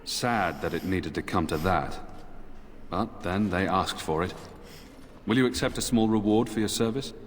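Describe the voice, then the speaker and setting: An actor playing a dutiful, weary knight commander in a fantasy video game, speaking formally and gravely. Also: male voice, sits low, normal speed, a little hiss or hum, close to an everyday voice. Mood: somber, earnest. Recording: game dialogue in long reverb under music.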